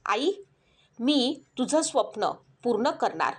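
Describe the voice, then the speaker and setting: A woman voicing a humble, kind young tea seller in a story, speaking gently and earnestly. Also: a little high, sing-song, slow pace, husky, exaggerated voice. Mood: resolved.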